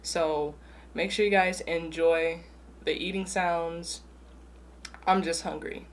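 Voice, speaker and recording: A young woman with a slightly low voice hosts a casual eating show. She talks in short bits between bites. There is clear background noise in the room around her. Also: steady tone, slow pace. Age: young adult. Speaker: mukbang host. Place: small room indoors at home.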